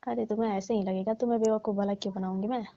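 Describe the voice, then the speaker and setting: Woman playing a coaxing, mellow-voiced flirty auntie. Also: medium pitch, fairly even intonation, racing, no room sound, close to an everyday voice. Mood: pleading. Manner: coaxing, teasing, playful. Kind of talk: staged comic phone-call conversation. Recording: dull, lo-fi phone call recording.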